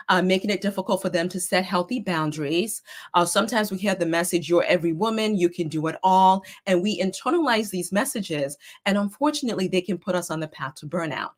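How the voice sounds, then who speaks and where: A middle-aged woman speaks as a guest presenter, her voice a little hoarse and lowish. She explains ideas in a steady, earnest way, like a therapist walking a group through a concept. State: slightly hoarse. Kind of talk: prepared talk to an online group.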